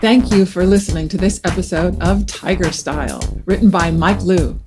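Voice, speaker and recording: Lower-pitched woman announcer over music. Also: moderate pace. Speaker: podcast credits announcer. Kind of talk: scripted narration. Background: guitar music.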